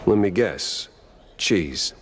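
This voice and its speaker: An actor playing a hard-boiled, world-weary private detective mouse in a noir game, deadpan and sardonic. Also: male voice, low-pitched, extremely slow, slight background noise, exaggerated voice. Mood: dry, weary. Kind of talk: noir game narration and dialogue.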